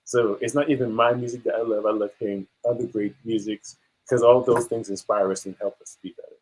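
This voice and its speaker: A man's voice: on the low side, fairly even intonation, brisk, darkish tone, slightly rough, low-quality recording. A man from Guyana, a musician chatting casually as an interview guest in an encouraging, easygoing manner.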